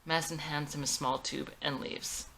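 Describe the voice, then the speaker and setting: A woman's voice: low-pitched, flat delivery, moderate pace, gravelly, fairly bright tone, a little hiss or hum, poor audio. A woman narrating the stage directions of her own screenplay in a scripted read.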